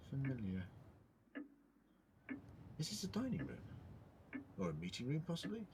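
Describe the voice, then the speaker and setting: A man's voice: mid-range voice, noisy background. A man playing an eccentric, ancient alien time traveller in an audio drama, theatrical and self-questioning, shifting between muttering and declaiming. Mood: inquisitive, indignant. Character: eccentric thousand-year-old male alien time traveller, muttering, indignant.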